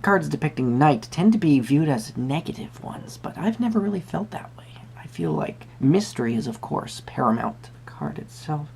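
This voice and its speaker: Creaky whimsical tarot reader someone acting it out, soft spoken roleplay, hums and ponders, bit of noise behind. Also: mid-range voice, big pitch swings. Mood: musing, gentle. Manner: hesitant, musing, pausing with hums.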